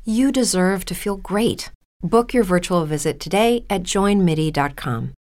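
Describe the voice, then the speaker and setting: A woman's voice: on the low side, lively intonation, unhurried, darkish tone. An American woman delivering a scripted, reassuring advertisement voice-over. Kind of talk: scripted ad read.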